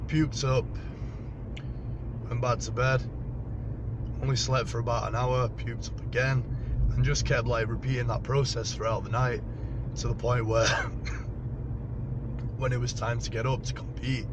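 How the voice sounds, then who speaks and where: A hoarse British man, his voice partly gone, talking through a casual spoken diary in a rambling way with many fillers and the odd swear. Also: mid-range voice, level tone, unhurried, pauses often. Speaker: powerlifter vlogging about a meet. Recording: dull lo-fi recording with clear background noise.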